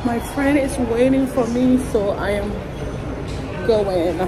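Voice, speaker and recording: A woman in her thirties chatting excitedly to her phone camera while walking through city streets and a busy train station. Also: a little high, slow pace. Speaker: vlogger. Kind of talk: casual walking vlog. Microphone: handheld phone held close. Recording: noisy street and station crowd.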